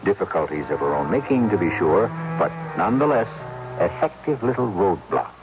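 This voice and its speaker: A man narrating as host of a 1970s radio mystery series, grave and theatrical, with measured, ornate phrasing. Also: sits low, big pitch swings. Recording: dull old radio recording with loud music.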